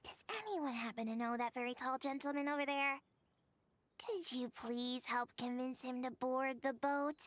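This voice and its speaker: A woman's voice: sits high, level tone, normal speed, a bit bright, no echo. A woman playing a small, polite, dutiful female waterway officer in a video game, speaking formally like an announcer. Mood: polite, official. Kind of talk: video game character acting. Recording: game music behind.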